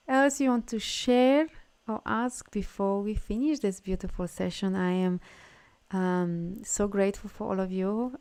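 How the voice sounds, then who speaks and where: A woman leads a live spiritual session, talking casually and gushing over her viewers. Her voice is smooth and clear, pitched in the middle for a woman. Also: darkish tone. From Poland. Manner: effusive, gushing praise and frequent laughter. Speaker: energy healer hosting a live session.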